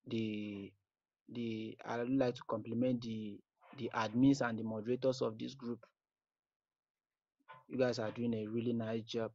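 A man's voice: medium pitch, no room sound. A man with a Nigerian accent leaving an informal, hesitant spoken message, often repeating his phrases. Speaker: voicemail caller. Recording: dull lo-fi voice message.

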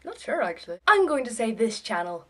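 A woman's voice: on the high side, very animated, fairly quick, pushed and bright. Young woman chatting offhandedly to camera. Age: young adult. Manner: irreverent, offhand, sweary. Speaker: vlogger. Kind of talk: casual chatty vlog to camera. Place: small bedroom, indoors.

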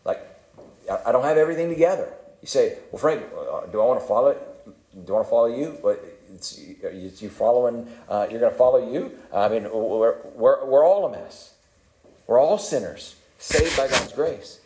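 A male pastor preaching a sermon in a calm, conversational way, self-deprecating and often repeating words. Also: mid-range voice, moderate pace, reverberant, poor audio. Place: church sanctuary.

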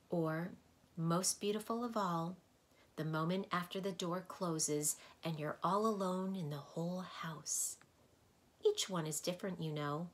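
A woman voicing the lonely female keeper of sounds in a storybook, gentle and soft-spoken, with a wistful, dreamy way of talking. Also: on the low side, natural voice. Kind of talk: storybook read-aloud.

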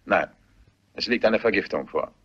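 An actor playing a self-assured, guarded family doctor in a German radio play, speaking formally with a husky voice. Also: male voice, lowish voice, lively intonation, moderate pace. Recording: dull, muffled radio play recording.

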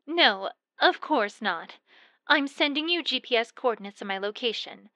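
A woman's voice: fairly high pitch, animated, moderate pace, sharp tone, no room sound. A woman voicing a confident female bat thief, teasing and commanding in a fan audio drama. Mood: sly.

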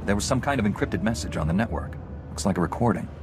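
Principled game hero actor, very low male voice, composed and earnest. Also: lively intonation, fast, background noise, lightly acted. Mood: serious. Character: calm, principled male Jedi knight hero. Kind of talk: video game cutscene acting.

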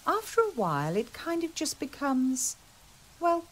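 A woman plays a serene, knowing local lady who calls people dear. She speaks very slowly, with a high, gliding voice that rises and falls widely. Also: wildly swooping pitch, full character voice, poor audio. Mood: dreamy.

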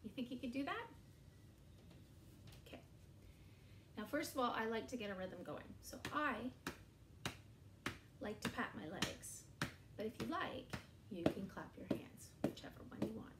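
A woman in her forties leads young children through an animal rhyme with puppets, speaking slowly and in an exaggerated sing-song way. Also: on the high side, very animated, reverberant, very noisy. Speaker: early years educator. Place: small indoor room against a bare wall.

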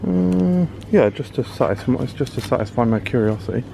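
Gravelly British man chatting in a supermarket. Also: mid-range voice, lively intonation, a little fast, mellow, a little hiss or hum. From United Kingdom. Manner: chatty, curious, exclaiming over products. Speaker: food vlogger. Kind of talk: casual running commentary while shopping. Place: large supermarket aisles, indoors.

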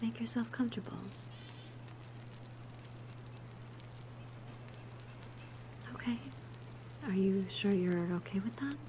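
A woman voicing a gentle, shy young female alchemist in an ASMR roleplay, softly breathy, hesitant and tender. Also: mid-range voice, background noise, lightly acted. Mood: gentle, focused. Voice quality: soft, breathy whisper.

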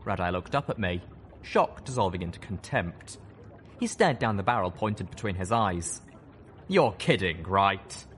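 A man narrating a first-person story as an expressive audiobook reader. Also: deep voice, moderate pace, a little hiss or hum.

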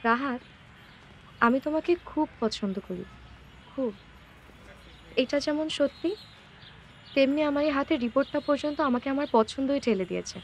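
An actress playing a gentle, resolute young woman parting from her boyfriend, earnest, with a Bangladeshi accent and English words mixed in. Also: high voice, lively intonation, normal speed, long pauses, slight background noise. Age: young adult. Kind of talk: naturalistic short-film dialogue.

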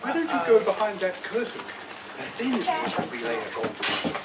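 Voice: medium pitch, huge pitch swings, fairly quick. A puppeteer voicing an eager boy in a live children's puppet show, speaking directly to the children. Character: Jack, eager boy puppet with magic hen. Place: outdoor puppet booth in a garden. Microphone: far camera. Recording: distant camera, noisy outdoor children's crowd.

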